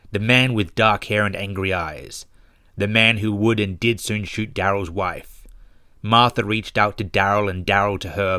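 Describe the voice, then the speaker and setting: A man narrating a scripted horror story in a grave, measured, ominous and dramatic manner. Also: lowish voice, sharp tone, dry recording, studio quality. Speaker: narrator and author of horror short stories.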